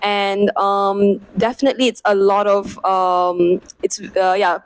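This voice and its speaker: Relaxed young woman, filler-heavy answers. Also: mid-range voice, takes it slowly, no echo, rough recording. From Malaysia. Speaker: interviewee, medical student. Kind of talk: casual interview answers.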